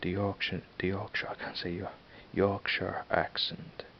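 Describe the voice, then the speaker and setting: A man in his thirties with a Dublin accent, rambling casually and halting over his words in an off-camera vlog. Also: sits low, level tone, gravelly. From Ireland. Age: 30s. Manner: rambling, halting, many restarts. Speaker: vlogger. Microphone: camera mic, filming a screen. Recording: dull lo-fi sound with faint hiss.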